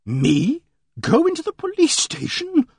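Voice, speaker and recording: A man's voice: very high voice, wildly swooping pitch, measured pace, no room sound, studio quality, voice pushed up, full character voice. A man voicing an earnest, indignant middle-aged village charwoman who rambles breathlessly. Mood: shocked. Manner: breathless, rambling country speech.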